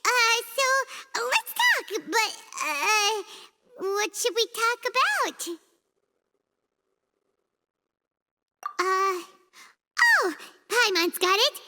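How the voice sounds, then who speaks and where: Brief: tiny excitable female sidekick, shrill and lively, game cutscene with long reverb. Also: drawn-out words, a little hiss or hum, full character voice. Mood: sheepish. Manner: bubbly, talks of herself in third person, stammers. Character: tiny chatty female floating companion, excitable. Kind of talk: video game cutscene dub.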